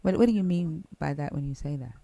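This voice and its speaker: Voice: mid-range voice, fast talker, vocal fry, highs cut off, crowd noise. A live-stream host in casual conversation who keeps pressing with pointed follow-up questions.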